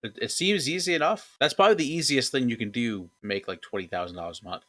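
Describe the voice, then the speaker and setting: A man's voice: mid-range voice, fast, sharp tone. A man co-hosting a podcast, laid-back, with short reactions and dry jokes. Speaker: podcast co-host. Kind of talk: casual podcast chat.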